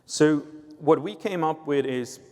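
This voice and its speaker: A man's voice: on the high side, a little slow, dark tone. A man from Switzerland with a Swiss accent, a sales executive explaining things on a conference panel, with frequent "uh" and "right?". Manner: explanatory, uses filler "uh" and "right?". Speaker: sales executive, guest speaker. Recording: long reverb of a hall.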